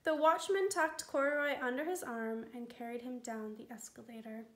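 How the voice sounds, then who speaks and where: A woman reading a children's picture book aloud, gentle and expressive. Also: on the high side, moderate pace. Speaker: storytime reader.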